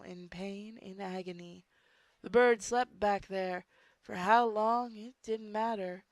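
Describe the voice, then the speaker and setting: Woman doing a slow story reading, kinda informal, trips on words and swears, dry close sound. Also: medium pitch, no room sound. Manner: informal, stumbles over words and swears in asides. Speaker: story reader. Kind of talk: amateur dramatic reading of a horror story with joking asides.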